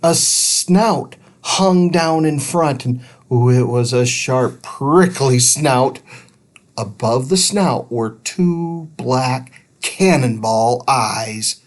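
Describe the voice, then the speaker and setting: A man reading a children's adventure book aloud in an expressive, dramatic style, stretching words for suspense. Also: medium pitch, very slow. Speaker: storybook reader. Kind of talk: expressive children's audiobook narration.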